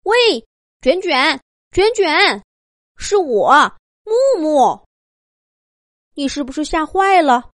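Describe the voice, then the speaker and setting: Female narrator as a young male rabbit, high pitch, very animated, curious, pestering, excitable, storybook character. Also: no echo, studio quality, heavily acted. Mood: eager, curious. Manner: insistent, excitable rapid questioning.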